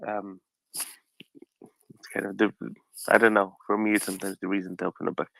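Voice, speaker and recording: A man's voice: fairly low pitch, level tone, normal speed, vocal fry, fairly bright tone, no echo, poor audio. A man hosting an online audio room, musing aloud with frequent hesitations and fillers.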